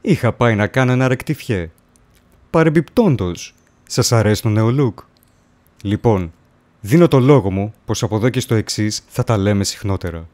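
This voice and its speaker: A man playing a sly, macabre coroner who hosts horror stories in a theatrical, deliberate manner. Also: fairly low pitch, animated, a little mellow, natural voice. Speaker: host of horror story series. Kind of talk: theatrical horror anthology introduction.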